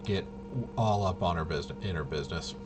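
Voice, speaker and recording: A man thinking aloud in casual tabletop gameplay commentary, halting and muttering to himself. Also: low-pitched, heavy background noise. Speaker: tabletop RPG player and presenter.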